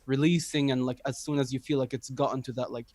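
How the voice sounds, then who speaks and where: A man's voice: medium pitch, steady tone, fast, darkish tone, no echo. A young man from Libya, a musician, talking reflectively in a casual interview with many filler words. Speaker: musician guest.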